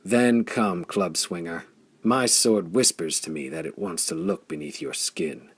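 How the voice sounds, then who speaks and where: Man acting a defiant swordsman, low gravelly rasp, scornful, mirthless, pulp adventure storybook hero. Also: big pitch swings, a little slow, dry recording, exaggerated voice. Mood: fierce, defiant. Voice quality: rasping. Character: defiant, grim swordsman hero, rasping and bitter-humored. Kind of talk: pulp adventure audiobook dialogue.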